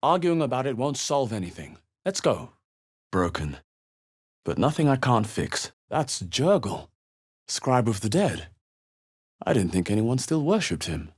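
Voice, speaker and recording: A wary, level-headed game adventurer. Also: male voice, medium pitch, big pitch swings, measured pace, darkish tone, slightly rough. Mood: wary, earnest. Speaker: video game player character. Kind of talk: scripted game voice lines, short exploration remarks and spell chants.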